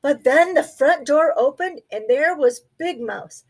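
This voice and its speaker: A woman reading a children's storybook aloud expressively, pausing to put questions to young listeners. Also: high voice, animated. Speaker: storybook reader. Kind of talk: children's read-aloud narration.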